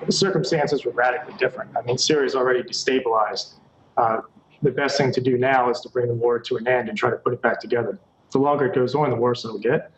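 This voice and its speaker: A man's voice: mid-range voice, steady tone, fast talker, lo-fi sound, slight background noise. A man, a journalist guest, answering firmly and directly. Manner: firm and direct. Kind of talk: interview answers.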